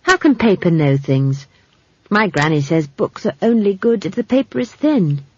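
A woman's voice: lowish voice, sing-song, normal speed, a little mellow, husky, lo-fi sound. A woman voicing a blunt, curious little village girl in an audiobook, speaking matter-of-factly. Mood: frank, curious. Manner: matter-of-fact, conversational. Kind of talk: audiobook character voice.

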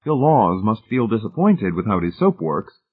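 A man's voice: fairly low pitch, sing-song, moderate pace, slightly rough, phone-quality sound, pitched above the performer's own voice. A man voicing a sardonic, casually cruel husband in an audiobook, dry and mocking. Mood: amiable, dry. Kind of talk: audiobook character voice.

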